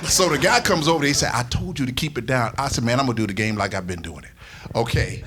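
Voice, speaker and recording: A man's voice: medium pitch, lively intonation, quick pace, a bit bright. A man, a radio sports announcer, casually telling anecdotes and acting out the dialogue as he goes.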